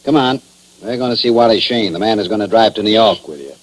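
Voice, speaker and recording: A man acting a shrewd, brisk police chief in a 1940s radio drama, gruff and folksy but authoritative. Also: on the low side, faint music behind, lightly acted, rough recording. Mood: firm. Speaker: actor in a radio mystery drama. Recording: vintage 1940s radio recording.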